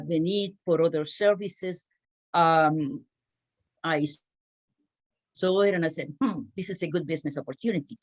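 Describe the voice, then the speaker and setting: A middle-aged woman from Cuba speaks on a panel about her own working life. Her voice sits a little low. She pauses and hesitates as she goes. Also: poor audio. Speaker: panelist, translation business owner. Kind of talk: panel talk, personal career account.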